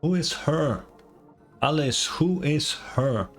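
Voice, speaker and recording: A man doing casual reaction commentary as a game streamer, thinking aloud haltingly and swearing casually. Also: on the high side, soft background music.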